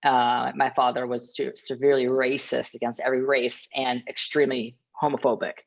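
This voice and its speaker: A woman's voice: extremely low pitch, little pitch movement, a little fast, gravelly. A woman panelist speaking candidly and chattily over a video call. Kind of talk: casual panel sharing. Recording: dull lo-fi call audio.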